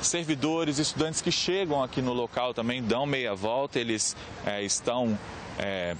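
Brazilian guy reporting live from outside, medium pitch, clear street noise behind him. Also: moderate pace. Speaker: TV news reporter. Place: outdoors on a campus road. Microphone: handheld reporter microphone. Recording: clear street noise, outdoor traffic ambience.

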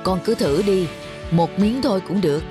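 A woman's voice: medium pitch, lively intonation, moderate pace, lightly acted. A woman voicing a patient but firm young father in a children's cartoon, speaking in an earnest, explanatory manner. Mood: patient, instructive. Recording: music behind the voice. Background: background music.

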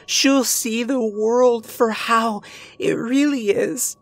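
A performer voicing a deranged, desperate and violent man in a dramatic horror story, agitated and hoarse. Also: steady tone, takes it slowly, no echo. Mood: fervent, pleading. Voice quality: hoarse shouting. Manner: agitated, booming, pleading.

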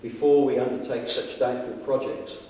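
A man reading the part of a nervous, doubting colonial gentleman with anxious, formal, wordy phrasing. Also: mid-range voice, fairly even intonation, normal speed, natural voice. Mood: perplexed, persuading. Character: nervous, doubting, courteous colonial gentleman, reluctant bush companion. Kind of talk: old-fashioned dramatic reading aloud. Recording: very echoey hall, far from mic.

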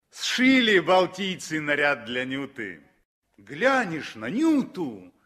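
Slow, expressive male radio narrator. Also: fairly high pitch, husky. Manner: storytelling, expressive. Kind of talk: expressive scripted radio drama narration, 1960s.

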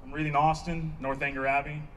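A man's voice: on the high side, little pitch movement, brisk, a bit bright, natural voice. A man in a staged play reading, playing a dry, exasperated therapist dealing with an old friend. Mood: dry, weary. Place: outdoor stage. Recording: distant outdoor stage pickup, background noise.

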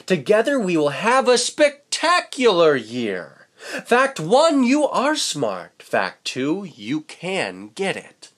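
A man's voice: sits high, huge pitch swings, takes it slowly, fairly bright tone, voice pushed up, heavily acted. A man voicing a kind, encouraging female fish schoolteacher in a storybook, reassuring and lilting. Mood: cheerful, encouraging.

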